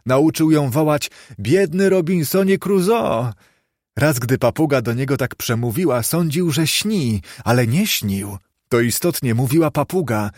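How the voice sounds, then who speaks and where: A man's voice: mid-range voice, no room sound, studio quality, natural voice. A man voicing a sentimental old man who eagerly exclaims over his childhood memories in a storybook reading. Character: old man Scrooge, excitedly and tearfully reliving childhood memories.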